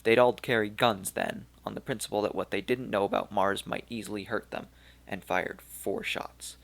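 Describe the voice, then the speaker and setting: A man with a low, gravelly voice reads a story aloud. His delivery is steady and unhurried, the plain tone of an audiobook narrator. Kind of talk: scripted narration.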